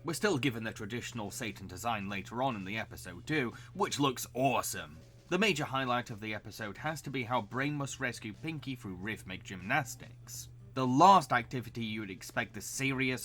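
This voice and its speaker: A man's voice: medium pitch, expressive, moderate pace, few pauses, fairly bright tone. A man narrating a scripted cartoon review as the host. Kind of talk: scripted video essay narration.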